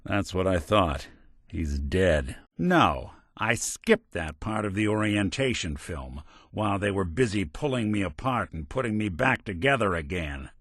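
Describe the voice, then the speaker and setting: An actor playing a world-weary, wry but loyal male synth private detective in a video game, with a gruff, hard-boiled noir delivery. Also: low voice, sing-song, unhurried, fairly bright tone, no room sound, clean studio sound, exaggerated voice. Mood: sardonic.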